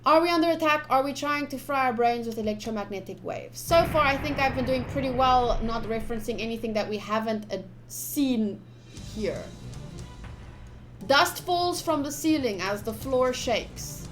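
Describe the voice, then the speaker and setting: High voiced girl streamer reading a visual novel out loud, bit dramatic then chatty, faint music behind. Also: expressive, moderate pace. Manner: switches between dramatic read-aloud and chatty asides. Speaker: game streamer. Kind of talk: live visual novel playthrough, reading aloud and commenting to chat.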